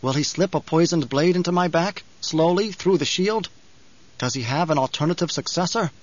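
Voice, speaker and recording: An uneasy young nobleman and schemer performed by a male narrator. He keeps a courtly, deferential surface over obvious nerves. The pitch is lifted, and the recording is dry and a little dull. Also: moderate pace, dry recording, close to an everyday voice. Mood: tense submission. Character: young scheming heir, anxious beneath courtly composure. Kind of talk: audiobook character voice. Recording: slightly dull, lo-fi audio.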